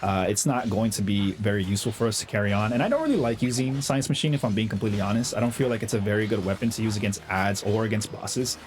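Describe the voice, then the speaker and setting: A young man with a slight cold, chatting excitedly over his own gameplay as the host of a gaming channel, full of slang and fillers. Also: fairly low pitch, fast talker, hardly any pauses, soft background music. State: has a cold, slightly hoarse. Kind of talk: casual gameplay commentary.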